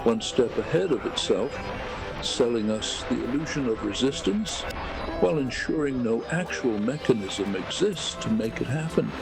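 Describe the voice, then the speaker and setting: Man acting a gravelly, brooding narrator. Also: medium pitch, expressive, moderate pace, over loud music. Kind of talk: scripted radio play narration.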